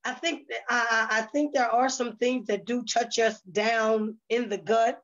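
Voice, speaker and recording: A woman with a fairly high voice talks casually. She feels her way through her thoughts out loud, with some hesitation. The recording is dull and lo-fi. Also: fairly even intonation, measured pace. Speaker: stage play cast member being interviewed. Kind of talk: casual conversational talk. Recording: dull, lo-fi sound.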